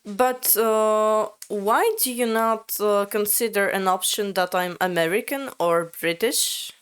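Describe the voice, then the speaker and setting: Slow-talking woman, expressive. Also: smooth. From Latvia. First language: Latvian. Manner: expressive, sudden jumps up in pitch, candid. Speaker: vlogger. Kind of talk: casual vlog narration and chat.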